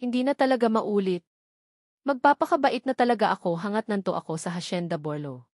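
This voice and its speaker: A proud, stubborn young wife from a romance audiobook, acted by a woman narrator. She is sulky and defensive, voiced at a middle pitch in a dry recording. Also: a little fast, a little mellow, no room sound, lightly acted. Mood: meek, sorry.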